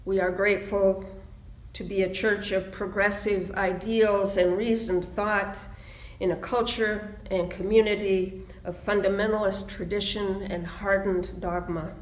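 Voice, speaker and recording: A woman minister leading a reflective prayer and meditation in a solemn, measured way with deliberate phrasing. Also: medium pitch, flat delivery. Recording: roomy, echoing sound.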